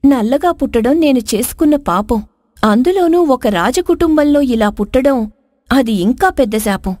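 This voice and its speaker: A woman voicing a high-pitched, wronged young princess. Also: mellow, no room sound, studio quality. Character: spurned dark-skinned young princess, earnest, wise, wronged. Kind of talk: dramatised moral folk tale.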